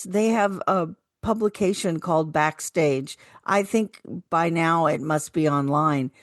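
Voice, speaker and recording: A woman's voice: lowish voice, dry recording, studio quality. An older woman, a retired actress, talking casually as a radio co-host.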